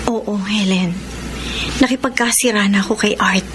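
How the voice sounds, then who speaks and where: An actress with a Filipino accent playing an earnest, sorrowful young woman torn in love in a sentimental radio drama, with emotional, halting delivery. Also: mid-range voice, steady tone, background noise, natural voice. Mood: pensive. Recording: radio drama with music underneath.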